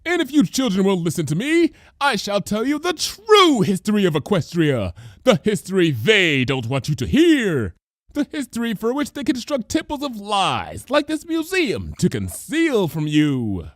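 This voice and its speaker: A high, wildly animated young mare, proclaiming grand conspiracies as a storybook character. The delivery is theatrical and unhinged, recorded close and dry. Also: male voice, moderate pace, no room sound. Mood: grandiose. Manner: dramatic, proclaiming.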